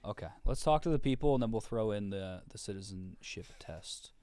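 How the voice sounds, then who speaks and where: A man's voice: on the low side, lively intonation, a little fast, dark tone, no echo. A young man hosting a late-night call-in stream, loose and jokey, laughing often. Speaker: host. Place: studio.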